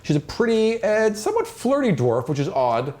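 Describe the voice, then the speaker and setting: A man's voice: high-pitched, sing-song, normal speed, darkish tone. A man narrating a tabletop fantasy game as game master, moving between descriptive scene-setting and casual asides.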